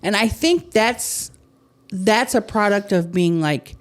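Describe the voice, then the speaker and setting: A woman hosting a podcast, chatting candidly and with animation, her loudness swinging up and down. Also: mid-range voice, slow. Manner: candid, animated, swings between loud and soft. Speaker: podcast host. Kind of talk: casual chat.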